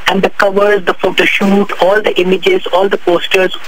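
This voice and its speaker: A man's voice: high-pitched, flat delivery, normal speed, fairly bright tone, poor audio. A man with a Pakistani accent, a guest author on a radio interview, talking fluently about marketing with many restarts. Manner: fluent, repetitive promotional talk with frequent restarts.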